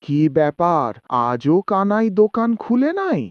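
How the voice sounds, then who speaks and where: A woman's voice: medium pitch, very animated, a little slow, no room sound, dull audio. A woman voicing a disapproving, gossipy village customer in a cartoon, incredulous and exclaiming. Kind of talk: cartoon voice acting.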